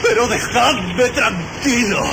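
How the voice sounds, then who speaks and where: A man playing a desperate, panicking man in a horror radio drama, halting and repeating himself in anguish. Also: very high voice, animated, pitched above the performer's own voice, full character voice. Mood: desperate panic. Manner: halting, repetitive, anguished exclamations. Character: terrified, desperate man unraveling in claustrophobic panic. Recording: noisy radio drama with loud ambience.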